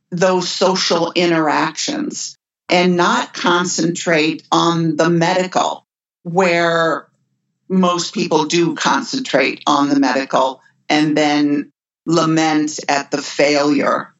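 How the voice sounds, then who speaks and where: An older woman who works as an end-of-life care nurse, speaking in an earnest, measured way. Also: lowish voice, steady tone. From United States. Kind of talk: podcast conversation. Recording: dull lo-fi remote audio.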